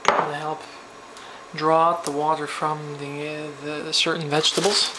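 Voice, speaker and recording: A woman hosting a home cooking video, relaxed as she explains each step at the stove in her kitchen. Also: sits low, a little slow. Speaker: cooking show host. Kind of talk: casual cooking demonstration. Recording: home video with loud frying noise. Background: loud sizzling from frying.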